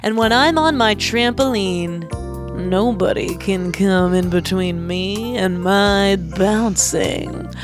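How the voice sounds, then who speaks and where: A woman's voice: medium pitch, slow pace, over music. A young woman co-hosting a comedy podcast, giving goofy, earnest closing thoughts with frequent giggles. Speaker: podcast co-host.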